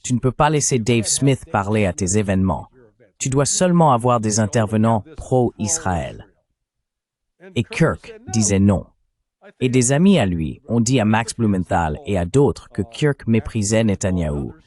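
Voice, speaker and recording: A man's voice: on the low side, expressive, unhurried, darkish tone. A man reading a translated voice-over of a political discussion in a blunt, opinionated way.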